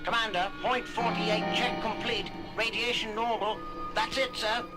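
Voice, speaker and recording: A man's voice: sits high, level tone, a little slow, loud music behind the voice. An actor playing a dutiful male astronaut, radioing in routine checks through a filter in a record drama. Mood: dutiful, procedural. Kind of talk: 1970s record audio drama acting.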